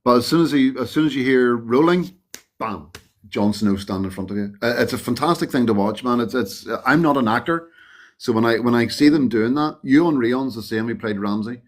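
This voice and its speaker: A man's voice: mid-range voice, normal speed. A man from the United Kingdom telling anecdotes as an interview guest in a casual, colloquial, blokey, admiring way. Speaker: interview guest, film extra.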